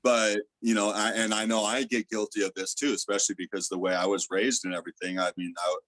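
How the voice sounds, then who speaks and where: A man with a loud voice, a theta healer, earnestly explaining his spiritual views in a casual interview. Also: on the low side, little pitch movement, fast, no room sound. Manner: earnest, explaining with many fillers.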